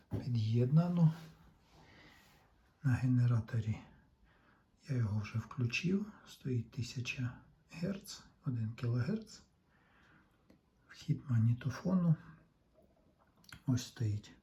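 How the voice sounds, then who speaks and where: A man giving a casual, step-by-step repair demonstration, thinking aloud in an unhurried, explanatory way. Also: medium pitch, expressive, mellow, muffled recording. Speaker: electronics repair hobbyist narrating.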